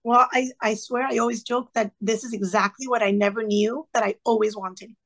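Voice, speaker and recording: High gravelly woman telling her story. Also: brisk. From Cuban family background. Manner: earnest, animated, quoting others in lively asides. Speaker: guest, former classroom teacher. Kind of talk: conversational storytelling.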